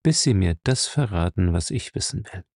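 A man voicing a grim, brutal captain, curt, gruff and threatening, in an audiobook. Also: sits low, animated, normal speed, darkish tone, no room sound, studio quality, full character voice. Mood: menacing. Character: grim, brutal captain, a menacing male interrogator. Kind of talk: audiobook character acting.

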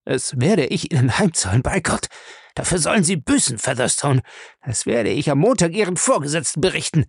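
A man's voice: a little high, big pitch swings, a little fast, vocal fry, slightly rough, dry recording, clean studio sound, pitched above the performer's own voice, heavily acted. A man voicing a cowardly, beaten crook, defiant and terrified, gasping in desperation in an audiobook. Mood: defiant, resentful. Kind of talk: audiobook character acting.

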